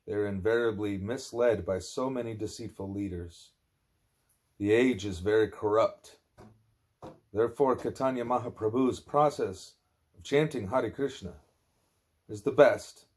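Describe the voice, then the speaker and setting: A man reading a spiritual discourse aloud as an audiobook narrator, with deliberate, measured phrasing. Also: fairly low pitch. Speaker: audiobook reader.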